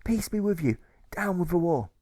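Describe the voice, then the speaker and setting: A man voicing a gentle, earnest, saintly freed prisoner in an audiobook story. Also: high voice, big pitch swings, normal speed, no echo, pitched above the performer's own voice. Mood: grateful. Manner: mellow, soothing, earnest.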